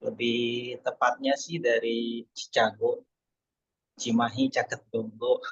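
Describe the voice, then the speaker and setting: Husky man presenting a webinar. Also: mid-range voice, little pitch movement, slow pace, no echo, highs cut off. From Indonesia. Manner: hesitant, many fillers and restarts. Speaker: webinar speaker.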